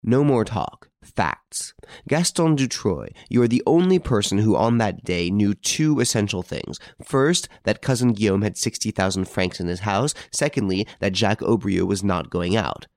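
A man voicing a confident, sly gentleman detective in an audiobook, good-humoured but emphatic. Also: on the low side, lively intonation, normal speed, no room sound, clean studio sound. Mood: pressing, accusing.

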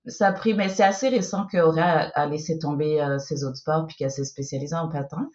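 A woman's voice: low-pitched, fairly even intonation, brisk, a little mellow, lo-fi sound. A woman in her forties from France whose accent has picked up a Québécois tinge, telling her family story in a chatty way full of fillers. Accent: French with a Québécois tinge. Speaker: guest parent. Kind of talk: casual podcast interview.

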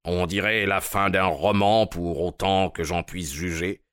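A man's voice: sits low, fairly even intonation, unhurried, a bit bright, clear, resonant tone, dry recording, clean studio sound. A man voicing a bustling, pompous veteran police inspector in an audiobook, self-satisfied in tone. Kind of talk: audiobook character reading.

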